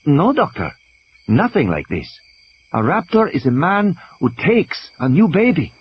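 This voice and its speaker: A man's voice: on the high side, huge pitch swings, measured pace, highs cut off, pitched above the performer's own voice. A man voicing a practical, no-nonsense village midwife of sixty, firm, wary and terse, in an audio play. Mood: puzzled, irritated. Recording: background hiss.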